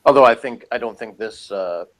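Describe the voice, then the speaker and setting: A man's voice: mid-range voice, normal speed. A man chatting casually as a reviewer, giving his opinions on an episode in a rambling way.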